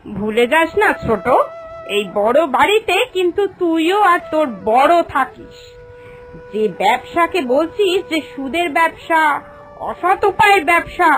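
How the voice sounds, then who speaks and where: A woman's voice: sits high, fairly bright tone, heavily acted, rough recording. A woman playing a proud, bossy mistress of a wealthy village household in a cartoon, haughty and authoritative. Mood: indignant, scolding. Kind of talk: Bengali cartoon voice acting.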